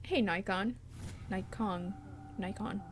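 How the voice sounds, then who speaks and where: A woman's voice: mid-range voice, slow pace, slight background noise. A woman from Finland with a Finland-Swedish accent, whose first language is Swedish, chatting casually and thinking aloud as she plays a game. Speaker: let's play streamer. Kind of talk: casual gameplay commentary. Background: game music.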